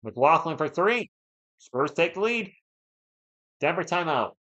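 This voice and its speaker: Hoarse man calling a game live. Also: on the high side, big pitch swings, slow, pushed and bright. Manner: chatty, reads and answers viewer chat between plays. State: sore throat, losing his voice. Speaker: live play-by-play streamer. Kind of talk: casual live play-by-play with chat. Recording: dull lo-fi stream audio.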